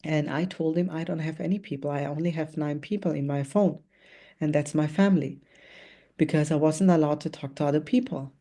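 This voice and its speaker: A woman in her thirties from Austria tells her story as a network marketing speaker, her voice low and warm. She speaks earnestly and reflectively, with small hesitations, over a video call. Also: steady tone, fairly quick. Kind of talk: motivational talk about her life. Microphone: video call, remote.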